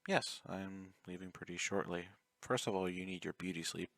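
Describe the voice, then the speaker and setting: Casting: male actor as obsessive yandere stalker for ASMR roleplay; low, close and dry, coaxing, politely menacing. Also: normal speed, creaky, a bit bright, no echo. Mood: gentle, reassuring. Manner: intimate, coaxing, courteous while threatening. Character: obsessive, clingy, soft-spoken young male yandere fan, politely menacing.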